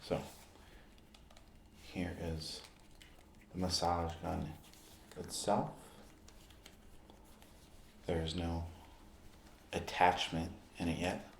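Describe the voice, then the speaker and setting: A man performing an ASMR roleplay as a friendly amateur basketball player, speaking in short, deliberate, halting phrases as he shows objects. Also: low voice, flat delivery. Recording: roomy echo, clear background noise.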